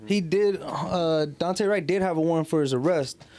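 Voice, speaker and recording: Higher-voiced man in casual podcast talk. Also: normal speed, a little mellow. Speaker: podcast panelist. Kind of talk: casual podcast chat with slang.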